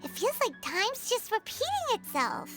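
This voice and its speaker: A woman voicing a small, excitable, childlike fairy companion in a video game, earnest and puzzled. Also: very high-pitched, moderate pace, music in the background. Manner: refers to herself by name, exclamatory, puzzled. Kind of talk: video game character dialogue.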